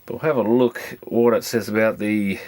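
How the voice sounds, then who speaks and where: A man's voice: fairly low pitch, fairly even intonation, normal speed, darkish tone, a little hiss or hum. A man giving casual, hands-on repair commentary, thinking aloud in a rambling, matter-of-fact way as he works through electronics. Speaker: electronics repairer presenting a video.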